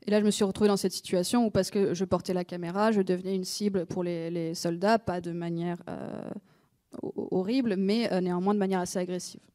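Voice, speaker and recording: A woman interpreting at a conference centre master class in a flat, even delivery. Her voice is low for a woman and mellow in tone. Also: fairly quick. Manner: steady, with frequent fillers. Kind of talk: consecutive interpreting.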